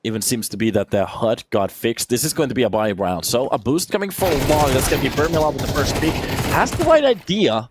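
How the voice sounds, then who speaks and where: A man in his thirties, raised in Finland, giving lively solo play-by-play on a shooter match and chatting with viewers between rounds. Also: medium pitch, quick pace, background noise. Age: 30s. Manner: energetic, chatty, answers viewer questions between plays. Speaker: esports caster. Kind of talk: solo play-by-play commentary with chat replies.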